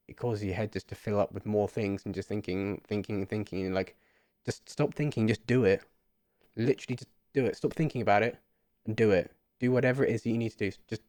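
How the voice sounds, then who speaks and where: A man's voice: on the low side, darkish tone, dry recording, clean studio sound. A man, talking earnestly and a bit rambling to camera in a casual vlog. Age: 20s. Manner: earnest, rambling, repeats himself. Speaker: vlogger. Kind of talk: casual reflective monologue to camera.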